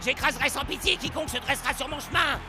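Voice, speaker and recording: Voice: high-pitched, fast, over music, natural voice. An actor playing a ruthless, menacing simulated sports opponent in a cartoon, boastful and threatening. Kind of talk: animated series dub acting.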